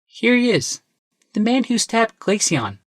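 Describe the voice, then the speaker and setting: A man voicing a small, determined, earnest boy with a shrill voice in a fantasy audiobook. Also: big pitch swings, measured pace, dry recording, studio quality, full character voice. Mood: solemn. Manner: solemn, earnest, simple. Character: small determined boy, frightened but solemn.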